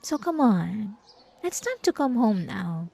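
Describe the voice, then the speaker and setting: A woman playing a possessive, obsessive ex-girlfriend in an ASMR roleplay, with drawn-out, cooing condescension and sweet menace. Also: mid-range voice, big pitch swings, gravelly, mellow, breathy edge, exaggerated voice. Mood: possessive, coaxing. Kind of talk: ASMR roleplay monologue to the listener.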